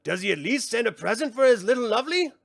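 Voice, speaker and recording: A small, mischievous male brownie with a needling, scolding way of talking, as a male narrator puts him on. His voice sits well up in pitch and jumps about in lively swoops. The sound is dry and close. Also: fast, gravelly, dry recording, exaggerated voice. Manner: scolding, pointed.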